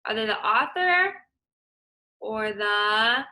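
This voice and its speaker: A woman's voice: a little high, lively intonation, takes it slowly, sharp tone, low-quality recording. A young woman teacher reading a picture book aloud to children, upbeat and encouraging, explaining words as she goes.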